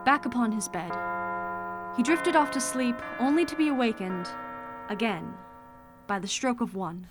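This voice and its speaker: A woman's voice: fairly high pitch, lively intonation, normal speed. A woman narrator telling a story in dramatic, scripted radio-play style. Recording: loud music and bells behind.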